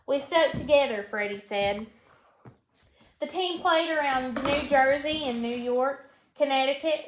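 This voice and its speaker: A woman teacher reading a storybook aloud in an instructional way, pausing to put questions to her pupil and repeat answers. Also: high voice, fairly bright tone. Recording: echoey room.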